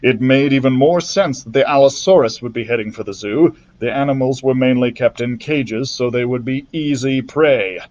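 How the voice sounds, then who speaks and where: A male librarian reading a children's adventure book aloud in a steady storytelling manner, with the odd slip. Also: mid-range voice, normal speed, hardly any pauses, lo-fi sound. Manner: steady storytelling narration with small slips.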